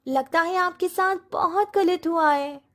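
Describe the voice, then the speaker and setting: A woman voicing a kind, earnest young village wife in an animated moral story. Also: very high-pitched, measured pace. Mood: curious, concerned.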